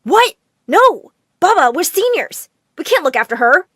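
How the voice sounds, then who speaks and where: An exasperated young brother, voiced by a woman storyteller, complains in a raised, whiny voice. The delivery is animated and indignant, with a very dry sound. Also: very high voice, unhurried, dry recording, voice pushed up, full character voice. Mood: dismayed. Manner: whining, complaining. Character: protesting, exasperated boys, the aggrieved brothers.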